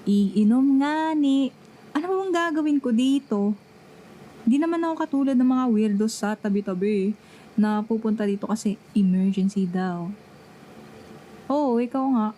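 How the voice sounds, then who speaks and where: A woman's voice: a little high, expressive, measured pace, long pauses, warm tone, slight background noise, rough recording. A young woman with a Filipino accent playing a jealous, sulky best friend who fakes indifference in an ASMR roleplay. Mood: dismissive, jealous. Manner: dramatic, sulky, sarcastic. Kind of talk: ASMR roleplay, one-sided dialogue.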